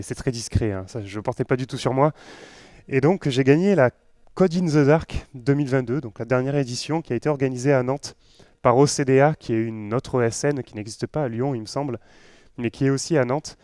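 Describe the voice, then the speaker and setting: Southern french guy doing a casual tech talk, clear crisp voice, pretty dry sound, chatty with little jokes. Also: mid-range voice, fairly quick, darkish tone, dry recording. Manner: conversational, joking asides. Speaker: conference speaker, web developer. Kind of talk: informal tech talk to an audience.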